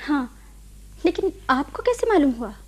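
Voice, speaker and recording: A very high female voice plays a young nurse in a radio play. She is proud and bitter. Her delivery is indignant and cutting, full of sarcasm and accusation. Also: big pitch swings, normal speed, a little mellow. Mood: guarded, sceptical. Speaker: radio drama actor. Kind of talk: Hindi radio play acting.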